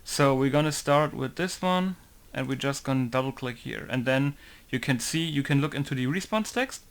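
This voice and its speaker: A man with a mid-range voice talks through a game modding tutorial in a loose, casual way. He thinks out loud as he goes and keeps leaning on the same filler words. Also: mid-range voice, lively intonation, normal speed. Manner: rambling, thinking aloud, leans on "basically" and "right?". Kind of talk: casual screen-recorded tutorial.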